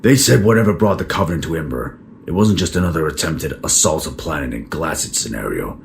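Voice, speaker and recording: A man acting a stern space-military captain with an extremely deep, gravelly voice. He is guarded and official, in an audio drama with faint noise under the voice. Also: lively intonation, brisk, full character voice. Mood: grave, confiding.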